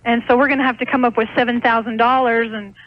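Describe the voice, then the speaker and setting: A woman's voice: a little high, flat delivery, quick pace, dry recording. An American woman calling in to a radio show by phone, explaining things in a hesitant, rambling way. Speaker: caller, small restaurant owner. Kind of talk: unscripted phone-in conversation. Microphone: telephone. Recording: dull lo-fi radio phone call.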